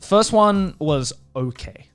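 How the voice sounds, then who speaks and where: Male podcast co-host, lively swooping delivery, opinionated and sweary, casual talk over music. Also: high-pitched, slow, smooth. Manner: opinionated, emphatic, swears freely. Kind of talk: casual chat.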